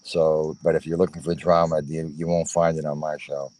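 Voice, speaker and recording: A man joining a livestream chat as a guest over his phone, earnest and prone to repeating his points with halting restarts. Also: very deep voice, flat delivery, darkish tone. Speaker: guest, fellow show host.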